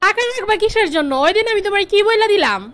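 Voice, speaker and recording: Woman playing a very shrill bossy Bangladeshi wife. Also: animated, fast, a bit bright, dry recording. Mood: demanding, defiant. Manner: commanding, nagging. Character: bossy, money-grabbing young cartoon wife. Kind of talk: comedy cartoon dialogue.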